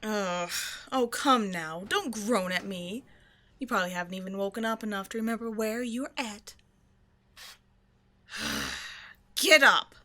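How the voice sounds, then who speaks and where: A woman's voice: mid-range voice, sing-song, a little slow, long pauses, fairly bright tone. A woman voicing a haughty vampire scientist in an audio roleplay, with a playful, condescending, mock-sweet delivery. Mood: brisk, bossy. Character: female vampire scientist, teasing, haughty captor. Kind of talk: scripted ASMR roleplay monologue addressed to the listener.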